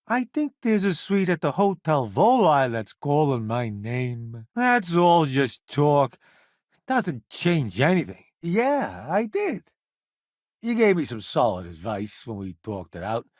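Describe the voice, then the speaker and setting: A man's voice: mid-range voice, big pitch swings, takes it slowly, dry recording, clean studio sound. An actor playing a jaded, showy middle-aged food critic turned hired space crewman in a video game, with wry, flippant, slangy delivery. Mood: wry, candid. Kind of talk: video game companion dialogue.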